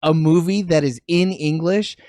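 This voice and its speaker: A man's voice: a little high, normal speed, a little mellow, no room sound, clean studio sound. A man co-hosting a casual podcast chat. He talks bluntly and emphatically, and swears freely. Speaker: podcast co-host.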